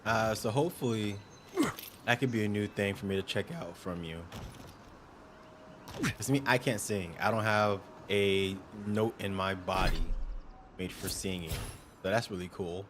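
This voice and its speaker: A young man streaming a game, chatting casually with viewers in a smooth, upbeat, joking way. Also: on the low side, measured pace, pauses often, background noise. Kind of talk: casual livestream chat.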